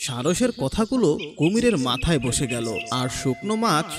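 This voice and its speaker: Male narrator for kids' animation: higher register, animated storytelling, music bed behind. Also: normal speed. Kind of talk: scripted children's story narration.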